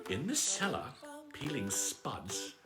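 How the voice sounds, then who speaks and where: A man reading a children's bedtime story aloud in a theatrical, playful manner with drawn-out, dramatic phrasing. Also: medium pitch, very animated.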